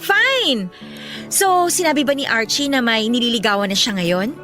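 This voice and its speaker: A bubbly, pushy young Filipino friend in a radio drama. The voice is very high and piercingly bright, with big swoops, teasing and exclaiming freely. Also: female voice, lively intonation, normal speed, soft background music. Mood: teasing, bossy.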